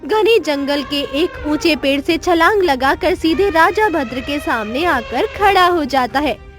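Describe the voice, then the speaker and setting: A woman's voice: high-pitched, expressive, a little slow, fairly bright tone, soft background music. A woman narrating a scripted children's moral story as its storyteller.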